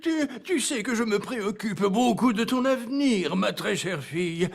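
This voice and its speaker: A man voicing a fond, hesitant old king in a French cartoon dub, speaking haltingly. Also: high-pitched, lively intonation, gravelly, heavily acted. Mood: fond, flustered.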